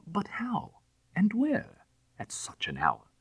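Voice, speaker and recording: A man plays an earnest young gentleman drawn into crime in a classic storybook tale. His voice is lifted above the narrator's and leaps about in pitch, anxious and hesitant, with formal old-fashioned phrasing. It sounds dry and close. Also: huge pitch swings, takes it slowly, slightly rough, no echo, exaggerated voice. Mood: startled, anxious. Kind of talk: dramatised audiobook reading of classic fiction.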